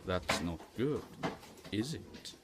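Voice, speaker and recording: A man's voice: on the low side, animated, takes it slowly, a little hiss or hum. A man playing a stern, fussy, easily outraged schoolteacher in an audio drama, pedantic and condescending. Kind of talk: full-cast audio drama acting.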